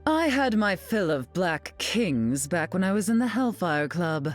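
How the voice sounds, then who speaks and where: Haughty mutant queen for a hero game: slow, lively pitch swings, sardonic glamour. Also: faint music behind. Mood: haughty, dry. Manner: haughty, drawling, dryly mocking. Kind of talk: video game character voice lines.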